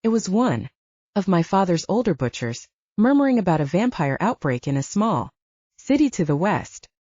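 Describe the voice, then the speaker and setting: A woman narrating a first-person fantasy audiobook in an expressive, dramatic manner. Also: lowish voice, normal speed, dry recording. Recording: dull dry audiobook recording.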